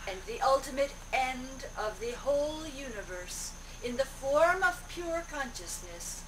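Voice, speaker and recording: A woman's voice: sits high, lively intonation, unhurried, a bit bright. Woman giving spiritual discourse, distant noisy recording. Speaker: speaker relaying a guru's teaching aloud. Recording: distant mic, noisy amateur video.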